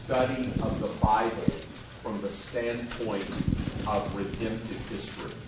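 Deliberate man heard from far off in an echoey room. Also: lowish voice. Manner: deliberate, slowing down for emphasis. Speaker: theology professor answering questions. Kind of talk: explanatory lecture answer. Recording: distant roomy lo-fi sound with noise.

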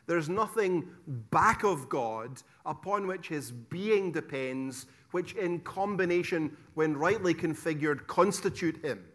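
A middle-aged Scottish man giving a theology lecture, stressing key words loudly, dropping to quiet asides and asking rhetorical questions. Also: a little high, expressive, takes it slowly, hardly any pauses. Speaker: preacher teaching theology.

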